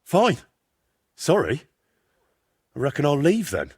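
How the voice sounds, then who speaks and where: A man's voice: fairly high pitch, huge pitch swings, slow pace, breathy edge, full character voice. An actor playing a cheeky, curious young man in a medieval game, talking in a casual, persuasive way. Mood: put out. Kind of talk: dramatic game dialogue acting.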